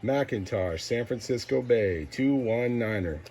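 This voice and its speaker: Through heavy static and hiss comes a man with a medium-pitched voice, making CB radio calls. He speaks in clipped slang and repeats callsigns and numbers as an operator does. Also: expressive, takes it slowly. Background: loud radio noise.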